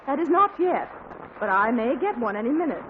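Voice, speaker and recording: A woman's voice: sits high, very animated, a little fast. An actress playing a flustered but dryly humorous middle-aged mother in a 1940s radio comedy, heard through a hissy, dull old radio recording. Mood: wry.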